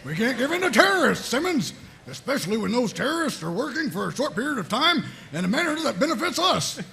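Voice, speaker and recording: A man playing a gruff, bossy, callous military sergeant in a comedic table read, with a gravelly, blustering, emphatic delivery. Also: very high voice, very animated, fast talker, sharp tone, exaggerated voice. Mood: smug, scheming.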